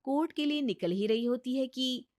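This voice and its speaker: A woman's voice: high-pitched, normal speed, a little mellow, dry recording, studio quality. A woman narrator reading a scripted Hindi story in a storytelling style.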